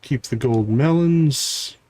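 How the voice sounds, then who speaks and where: A man's voice: medium pitch, slow pace, dark tone. A man doing casual live game commentary, thinking aloud and talking himself through each task step by step. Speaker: live game streamer, host.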